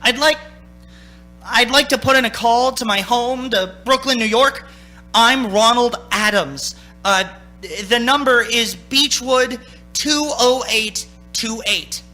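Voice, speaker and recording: A man plays a haunted young driver coming apart in a radio drama. The voice is bright and forceful, telling the tale with halting, desperate intensity. Music sounds faintly behind it. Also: very high voice, heavily acted. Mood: anxious. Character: frightened young man driver, unravelling, narrating his ordeal. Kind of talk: dramatic radio play acting.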